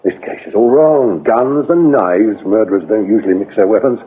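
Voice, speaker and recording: An actor playing a shrewd, scornful British police inspector interrogating in a radio drama, sardonic and accusing. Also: male voice, on the low side, normal speed, breathy edge. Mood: measured, grave. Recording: lo-fi, narrow-band radio drama recording.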